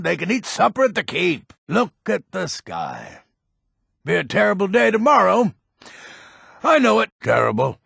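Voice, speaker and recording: An actor playing a grumpy, pessimistic old male alchemist and shopkeeper in a fantasy video game, curt and exasperated, with a gravelly rasp. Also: a little high, a bit bright, no echo, studio quality, heavily acted. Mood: gloomy, grumbling. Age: older. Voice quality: gravelly, rasping.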